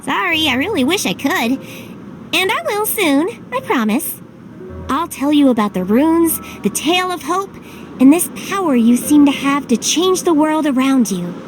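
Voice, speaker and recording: A woman's voice: sits high, sing-song, normal speed, slight background noise, rough recording. A woman voicing a playful, enigmatic guide companion in a video game, fond and evasive. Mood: cryptic, apologetic. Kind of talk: English video game voice acting. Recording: game music under the voice.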